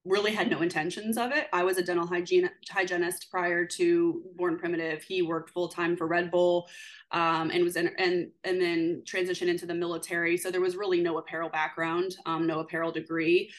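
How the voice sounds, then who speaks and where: A woman's voice: lowish voice, little pitch movement, a little fast. A woman guest on a podcast, speaking in friendly casual conversation with many fillers. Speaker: podcast guest, apparel company owner. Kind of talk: casual interview.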